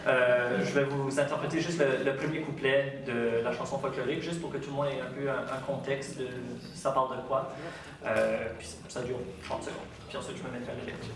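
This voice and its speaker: A man with an Acadian French accent, an author giving a public talk and reading his own writing in a measured, unhurried way. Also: mid-range voice, steady tone. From Acadia, Canada. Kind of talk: lecture and literary reading. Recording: very echoey hall, distant mic.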